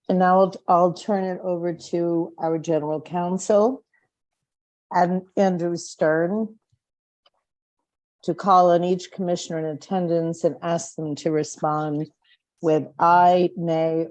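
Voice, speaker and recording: Woman, vice chair, formal meeting, measured and slightly halting, low-pitched, unhurried. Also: steady tone, a little mellow, rough recording. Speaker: vice chair running a public commission meeting.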